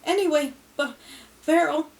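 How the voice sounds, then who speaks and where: A woman voicing a stammering ghost of a henpecked older husband in a storybook. He speaks high and loud, tripping over his words as years of frustration spill out. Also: measured pace, vocal fry, recorded from a distance. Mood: exasperated.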